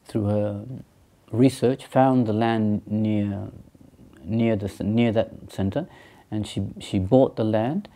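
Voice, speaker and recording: Low, mellow-voiced monk, thoughtful and halting. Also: male voice. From Sri Lanka, raised in England. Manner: thoughtful, hesitant with many ums and restarts. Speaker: Buddhist monk and teacher. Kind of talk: interview answers. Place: small indoor room by a shrine.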